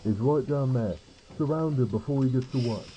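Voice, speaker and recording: Man as a very dark-voiced stern guard. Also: medium pitch, normal speed, voice pushed up, close to an everyday voice, low-quality recording. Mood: urgent, commanding. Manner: clipped military commands. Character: stern male guard barking orders on a hunt. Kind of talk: audio roleplay acting.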